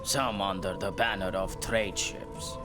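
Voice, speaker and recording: A man's voice: deep voice, fairly even intonation, a little slow. A man voicing a scheming, bitter old pirate captain in a video game cutscene, brooding and ominous. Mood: brooding, sly. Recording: music behind the voice.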